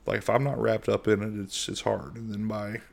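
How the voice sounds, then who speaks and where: A middle-aged man from the United States hosting a casual solo podcast in a monotone voice, rambling with lots of fillers and restarts. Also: fairly low pitch, normal speed, gravelly. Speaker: podcast host.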